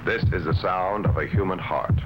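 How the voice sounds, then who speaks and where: A man's voice: sits low, normal speed, very noisy. An American man reading serious scripted narration for a vintage medicine commercial, on an old television recording with a throbbing backing. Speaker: commercial announcer. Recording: dull old TV advert, loud backing.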